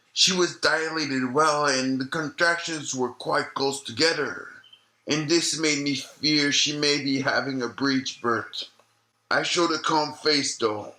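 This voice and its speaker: Man playing elderly male doctor, haunted, audio-log drama, slow deliberate pacing, sharp bright tone, reflective storytelling. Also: mid-range voice, poor audio. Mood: somber recollection. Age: older. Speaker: retired obstetrician being interviewed.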